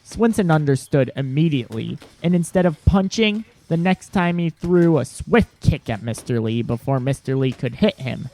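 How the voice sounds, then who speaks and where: A man's voice: fairly high pitch, animated, moderate pace, few pauses, darkish tone. A man narrating a scripted audio drama in the third person.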